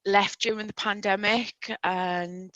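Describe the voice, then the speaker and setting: A woman with a Geordie accent talks through her career as a podcast guest, friendly and unhurried with the odd "um". Her tone is bright and forceful, recorded dry and close to the mic. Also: mid-range voice, steady tone, dry recording, highs cut off. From Newcastle, England. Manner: friendly, explains steadily with fillers. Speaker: recruitment consultant guest. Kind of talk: casual podcast interview.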